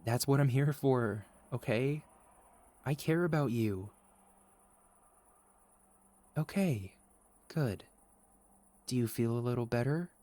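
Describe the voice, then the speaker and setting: A man playing a sweet, caring, gently teasing boyfriend in a soft, reassuring ASMR roleplay. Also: medium pitch, no room sound, natural voice. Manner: soft, tender, reassuring, playful. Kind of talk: ASMR boyfriend roleplay, intimate scripted monologue.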